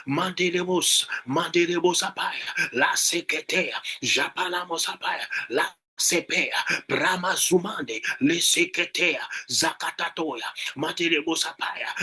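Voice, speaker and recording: A man's voice: on the high side, bright, forceful tone, breathy edge. A man preaching and leading prayer as a pastor would, emphatic and repetitive in his exhortation and chanted prayer. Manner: emphatic, repeats words and phrases.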